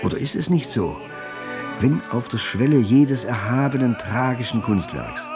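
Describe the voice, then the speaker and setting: A man's voice: medium pitch, sing-song, normal speed, over music. A man narrating a literary audio drama in a formal, elevated storytelling manner.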